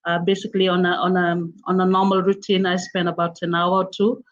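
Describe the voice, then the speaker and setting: Halting Fijian woman on a lo-fi call. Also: fairly low pitch, flat delivery. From Fiji. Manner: halting, many "uh" and "um" pauses. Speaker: interview guest. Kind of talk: casual interview. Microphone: video call. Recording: dull lo-fi online call audio.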